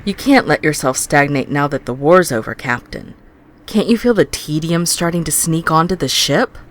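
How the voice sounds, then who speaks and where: A woman's voice: deep voice, expressive, a little hiss or hum. Woman acting as a headstrong, goading alien officer. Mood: goading, confident. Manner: brisk, goading, emphatic. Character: headstrong, teasing alien starship officer craving challenge. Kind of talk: science fiction audio drama acting.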